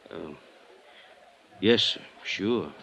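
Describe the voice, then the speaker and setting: An actor playing a puzzled, deferential, folksy bartender who speaks hesitantly in a 1950s radio drama. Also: male voice, low voice, very slow, gravelly, full character voice, low-quality recording. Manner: hesitant, plain-spoken, folksy.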